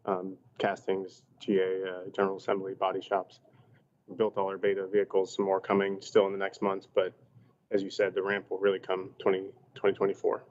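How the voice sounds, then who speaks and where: Man, deep, very monotone, company executive, earnings-call update, dull conference audio with slight background noise. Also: a little fast. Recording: muffled conference line, faint background noise.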